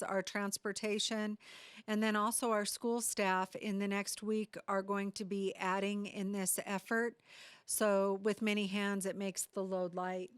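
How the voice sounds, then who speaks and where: Female district leader for a community update. Smooth, clean tone, measured delivery, very dry recording. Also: mid-range voice, dry recording. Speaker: school district superintendent. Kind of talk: prepared public address to community.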